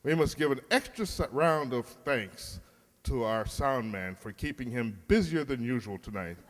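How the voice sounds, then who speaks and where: Male announcer, arch and measured, lively intonation, reverberant hall with faint noise. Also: mid-range voice. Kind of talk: scripted radio play announcing, wry.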